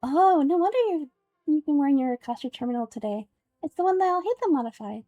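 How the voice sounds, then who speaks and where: Excitable pint-size fairy companion for a game, high squeaky register, perky and childlike. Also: female voice, fast, no room sound, highs cut off, soft background music. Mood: curious, eager. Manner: perky, boastful, speaks of self in third person. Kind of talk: video game character voice.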